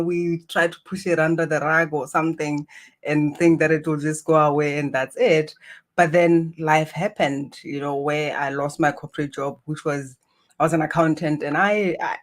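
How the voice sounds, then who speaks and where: A middle-aged woman from Botswana, a native Setswana speaker with a Botswana accent, reflecting on her life as an interview guest. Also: deep voice. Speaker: interview guest, spiritual healer. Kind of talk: reflective personal storytelling.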